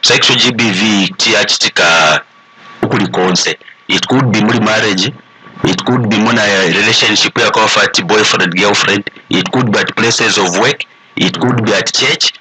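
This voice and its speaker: A man's voice: fairly low pitch, moderate pace, a crowd in the background, rough recording. A man with a Zambian accent speaking as an expert guest in a radio discussion, making his points emphatically.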